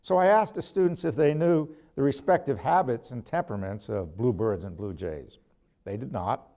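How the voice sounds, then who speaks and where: An older man delivers an academic lecture in a mid-range voice. He reads from prepared remarks in deliberate, measured phrases, pausing for emphasis and stepping aside from the text now and then. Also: medium pitch, animated, warm tone. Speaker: ethics professor giving a lecture.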